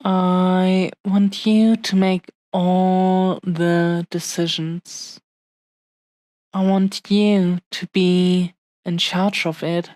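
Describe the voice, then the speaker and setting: A woman playing an adoring, possessive dragon-blooded fiancée in an intimate romantic roleplay audio, speaking soothingly with lingering pauses. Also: lowish voice, level tone, darkish tone, no echo. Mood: coaxing, affectionate. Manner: slow, lingering, soothing, affectionate delivery with long pauses.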